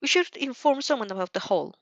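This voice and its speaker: An opinionated teenage schoolgirl played by a woman from a storybook reading, emphatic and excitable. The pitch is lifted and bouncy, with a dry, slightly dull sound. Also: fast talker, dry recording. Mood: indignant.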